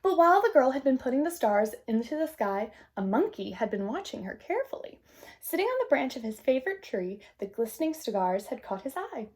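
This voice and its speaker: High-voiced woman reading a picture book aloud. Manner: expressive, gentle, unhurried storytelling. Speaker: storybook reader. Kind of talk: picture book read-aloud with casual asides to young listeners.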